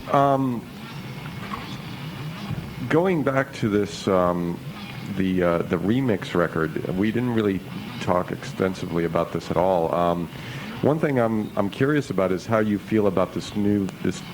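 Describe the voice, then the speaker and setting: A man with a low voice hosts a casual radio interview. He feels his way through questions in a tentative, wordy manner. The aircheck recording carries a little noise.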